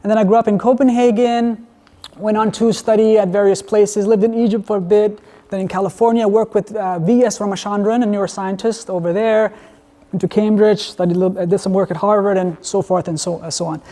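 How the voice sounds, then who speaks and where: A man from Denmark gives a conversational university lecture with a mellow, relaxed tone. He often asks the audience questions. His voice rings with the long reverb of a lecture hall. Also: high-pitched, a little fast. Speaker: guest lecturer.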